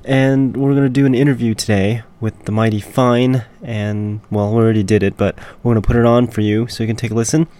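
A man hosting a punk rock interview show, hoarse after staying up all night, laid-back and laughing often. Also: medium pitch, fairly even intonation, a little fast, hardly any pauses, dark tone. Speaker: host, interviewer. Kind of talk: casual podcast interview.

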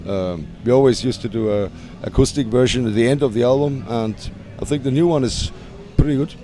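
A man with a German accent, a punk band singer, answering interview questions hesitantly with frequent restarts and soft laughs. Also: mid-range voice, brisk, soft background music.

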